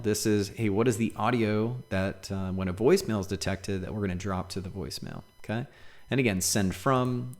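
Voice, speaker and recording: A man with a fairly low voice presents a software demo. He explains each step in a casual, conversational way, often checking in with a short tag question as he goes.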